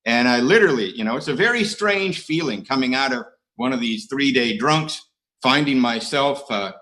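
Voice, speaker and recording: A middle-aged American man tells his recovery story with a dry, self-mocking wit. His voice is bright and forward, and his pitch sits in an ordinary middle range. He pauses often on "uh" between wry asides. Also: expressive. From United States. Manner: self-mocking, dry humor, many uhs. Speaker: AA speaker. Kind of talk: recovery share talk. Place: online conference.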